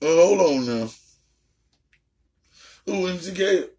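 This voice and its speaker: A young adult woman hosting a casual reaction chat, talking loud and brash, swearing a lot and trash-talking playfully. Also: on the low side, animated, slow pace. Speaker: host.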